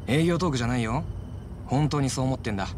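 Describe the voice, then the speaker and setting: A man playing a glib, sly taxi driver from a video game. He talks informally in a mid-pitched voice, like a small-time crook with a sales patter. Vehicle and ambient noise is clear behind him. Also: fast talker, natural voice. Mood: chatty, friendly. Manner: casual, glib, informal speech. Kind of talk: Japanese video game cutscene acting.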